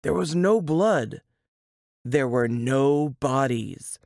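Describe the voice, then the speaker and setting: A woman reading a suspense novel aloud in a dramatic, deliberate storytelling manner. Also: rumbling low, lively intonation, darkish tone, dry recording, clean studio sound. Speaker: author reading from their novel.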